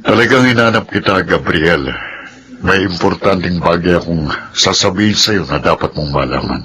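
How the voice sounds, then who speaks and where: An actor playing a grave, guilty doctor confessing in a radio drama. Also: male voice, low-pitched, normal speed, pushed and bright, slight background noise. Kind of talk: Filipino radio drama acting.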